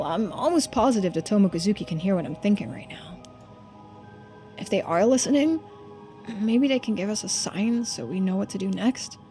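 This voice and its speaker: Female reader as young male game protagonist: earnest, anxious, hesitant, conversational, over soft background music. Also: medium pitch, lightly acted. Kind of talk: video game dialogue read-aloud.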